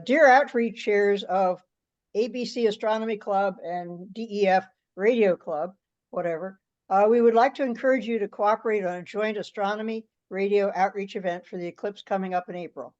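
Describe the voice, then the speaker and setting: A woman speaks at a medium pitch in an online meeting, presenting and discussing written material. The sound is a little dull, as on a conference call. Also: normal speed, darkish tone. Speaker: meeting participant presenting a draft letter. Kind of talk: reading a draft aloud and discussing it in an online meeting. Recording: slightly dull video call audio.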